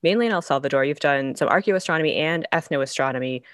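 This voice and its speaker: A woman's voice: sits low, fast, no echo, clean studio sound. A woman interviewing a guest in a casual, conversational way.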